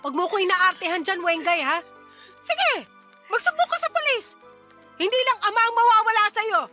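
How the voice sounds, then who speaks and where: A woman's voice: very high-pitched, very animated, normal speed, sharp tone, exaggerated voice. A woman playing a harsh, defensive middle-aged mother in a radio drama, scolding and dismissive. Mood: scornful, scolding. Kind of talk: Tagalog radio drama acting. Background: music.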